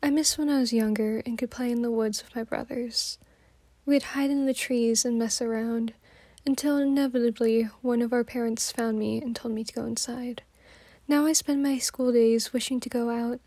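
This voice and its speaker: A woman's voice: a little high, fairly even intonation, moderate pace, mellow, no room sound. A woman playing a wistful farm girl who longs for the outdoors, in a plain-spoken storytelling monologue. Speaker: student performer. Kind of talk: dramatised oral-history monologue.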